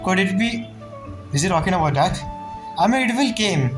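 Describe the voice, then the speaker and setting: A man's voice: high-pitched, expressive, normal speed, music in the background. A man giving casual, informal live reaction commentary on a game, musing and asking questions as he goes. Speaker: gaming streamer.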